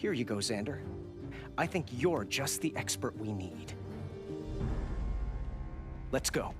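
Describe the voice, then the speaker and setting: A young man playing an eager, excitable teenage boy sidekick in a video game. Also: medium pitch, slow. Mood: flustered. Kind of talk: video game cutscene acting. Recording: music under the voice.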